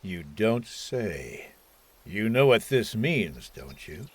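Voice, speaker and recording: A man's voice: on the low side, very animated, slow pace, breathy edge, no echo, heavily acted. A man playing a belligerent army colonel in a science fiction radio drama, authoritative and used to giving clipped orders. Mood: belligerent, triumphant. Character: hawkish army colonel, commanding, suspicious and belligerent. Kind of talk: old-time radio science fiction acting.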